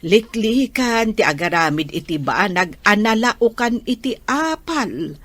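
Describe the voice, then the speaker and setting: A woman's voice: mid-range voice, sing-song, a little slow, fairly bright tone, no echo. A woman with an Ilocano Filipino accent playing a caring, soothing old grandmother in an audio drama.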